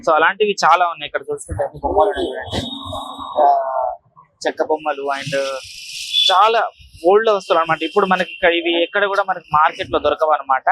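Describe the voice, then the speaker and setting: A man's voice: fairly high pitch, fast talker, sharp tone, low-quality recording. A man giving a casual walk-through vlog commentary, explaining things directly to viewers as he goes. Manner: explanatory, repetitive filler tags, addressing viewers directly. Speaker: vlogger, market tour host.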